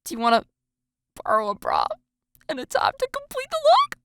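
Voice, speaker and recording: A woman's voice: a little high, wildly swooping pitch, moderate pace, very noisy, pitched above the performer's own voice. A woman voicing an amused, teasing teenage schoolgirl in a dramatized audio story, gasping and breaking into giggles as she talks. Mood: giggly teasing.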